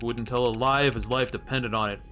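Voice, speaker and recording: A man's voice: medium pitch, steady tone, brisk, bright, forceful tone, soft background music, lightly acted. A man voicing a blunt, world-weary streetwise cop in a noir game, with a matter-of-fact, wry delivery. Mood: wry, explanatory. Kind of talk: reading noir game dialogue aloud.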